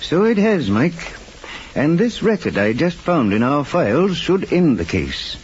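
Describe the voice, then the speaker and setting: An actor playing a calm, authoritative detective in an old-time radio drama, speaking in a measured, formal, courteous way. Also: male voice, on the high side. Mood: assured. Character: calm, authoritative male detective, courteous but firm investigator. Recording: muffled, noisy old radio recording.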